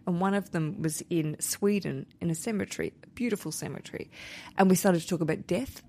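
A woman's voice: lowish voice, brisk, slight background noise. Chatty Australian woman podcast host. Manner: chatty, jokey and lively. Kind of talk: casual chat.

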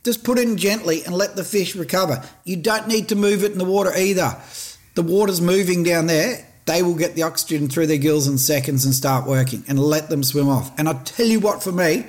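Blunt, passionate Australian fishing host. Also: male voice, on the high side, a little fast. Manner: blunt, passionate, plain-spoken. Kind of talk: casual podcast talk.

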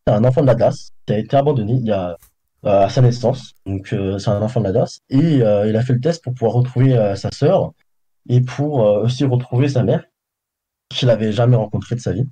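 A man haltingly telling a story, full of hesitations, over a bad, distorted mic. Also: mid-range voice, mellow, slightly rough. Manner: halting, many fillers.

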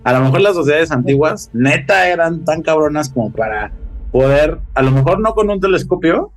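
A Mexican man chatting casually as a podcast guest, telling things in an animated, slangy way with swearing. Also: fairly high pitch, normal speed, rough recording.

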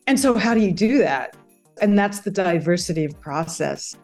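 A woman's voice: lowish voice, lively intonation, moderate pace, a little mellow, faint music behind. A woman guest in a reflective conversational interview, thoughtful and searching for her words.

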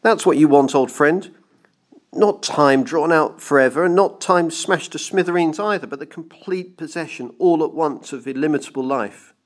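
A man's voice: a little high, normal speed, pitched above the performer's own voice, natural voice. A man voicing a timid, anxious clockmaker in an audiobook story, carefully pleading and placating. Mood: earnest, coaxing. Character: timid, pleading male clockmaker placating his dangerous friend. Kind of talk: dramatised audiobook reading.

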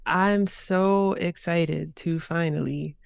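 A woman hosting a language podcast, reading her scripted introduction in an upbeat, clearly spoken way before she starts the interview. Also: lowish voice, steady tone, takes it slowly, dry recording, clean studio sound. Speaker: podcast host.

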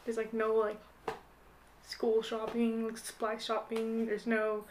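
A woman's voice: fairly high pitch, monotone, slow pace, slight background noise, rough recording. A young American woman in her late teens, chatting casually as a podcast guest in a hesitant, rambling way with lots of "like". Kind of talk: casual chat.

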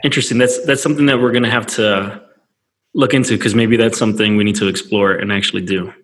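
A man's voice: fairly low pitch, fairly even intonation. A man hosting a podcast, chatting casually and laughing often with a friend he interviews. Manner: easygoing, laughs often, quick restarts. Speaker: podcast host.

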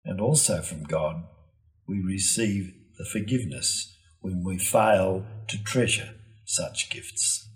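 Slow-speaking, low-pitched male preacher. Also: faint music behind, rough recording. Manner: measured, solemn, deliberate phrasing. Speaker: preacher giving a Gospel reflection. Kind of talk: scripted reflective homily.

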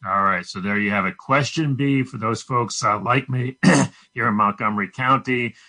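A man's voice: fairly low pitch, normal speed, pushed and bright. An American man hosting a radio interview in a conversational style. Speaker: radio show host.

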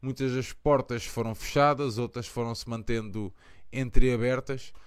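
Passionate Portuguese man, smooth dry voice. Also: medium pitch, fairly even intonation, unhurried, dry recording. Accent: European Portuguese. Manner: passionate, rambling, lots of fillers. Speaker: podcast host of a fan channel. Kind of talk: casual live chat.

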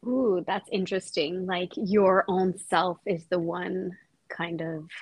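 A woman guest on a podcast who has a cold, speaking softly and casually with a husky, gravelly voice. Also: fairly low pitch, steady tone, no room sound. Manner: slow, soft-spoken, trailing off.

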